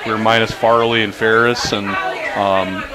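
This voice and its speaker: Man, basketball coach, lowish voice, earnest and upbeat, bar crowd loud behind. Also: flat delivery, unhurried, rough recording. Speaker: high school basketball coach. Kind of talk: radio interview guest. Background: bar crowd chatter.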